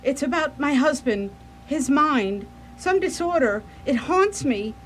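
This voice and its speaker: A woman's voice: high-pitched, animated, a little slow, slight background noise. A woman playing an anxious, devoted young wife in a radio play, genteel and pleading. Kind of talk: radio theatre acting.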